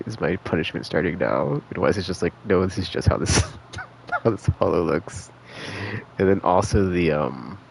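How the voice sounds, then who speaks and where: A man's voice: low-pitched, gravelly, slight background noise, low-quality recording. A man giving casual live game stream commentary, thinking aloud in a rambling, hesitant, slangy way.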